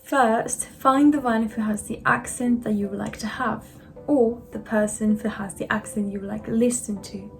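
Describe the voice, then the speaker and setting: A woman in her twenties from Japan, a native Japanese speaker with a Japanese accent, presenting a scripted explainer as a language vlogger in simple, deliberate, slightly non-native phrasing. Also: on the high side, creaky, a little mellow. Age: 20s.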